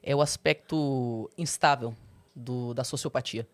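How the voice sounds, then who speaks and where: A young adult woman, a guest in an interview, candidly telling her personal story and breaking into high, animated quotes. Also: measured pace. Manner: expressive, swings into high animated quoting.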